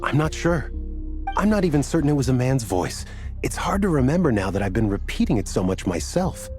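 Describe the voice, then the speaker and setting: A man's voice: medium pitch, very animated, fairly quick, a little mellow, soft background music, natural voice. An actor playing an earnest, slightly dazed man in a video game who rambles through a strange story. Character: dazed desert wanderer, adult man, earnest.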